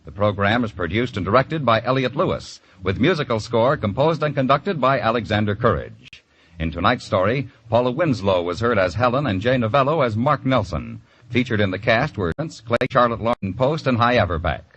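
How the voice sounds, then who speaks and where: A man's voice: mid-range voice, expressive, fairly quick, slightly rough. A man with an American accent announcing in a polished, dramatic, scripted vintage radio style. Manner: polished, dramatic promotional delivery. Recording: dull old radio recording under loud music.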